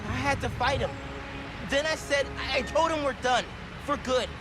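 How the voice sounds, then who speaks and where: A man's voice: very high-pitched, normal speed, background noise. An actor playing an earnest, determined teenage boy superhero in a video game. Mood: urgent. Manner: earnest, determined, talks to himself while working. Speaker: game character. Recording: game soundtrack music behind.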